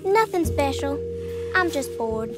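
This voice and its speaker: An eerie young girl speaks in a high voice. She is secretive and oddly matter-of-fact, a character in a horror game. Faint music sits underneath. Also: lively intonation, unhurried. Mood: aloof. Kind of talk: video game cutscene dialogue.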